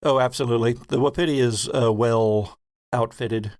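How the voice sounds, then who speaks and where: A man's voice: medium pitch, fairly even intonation, moderate pace, darkish tone, no echo. A man narrating a horror tabletop roleplaying game as game master, in a descriptive storytelling manner.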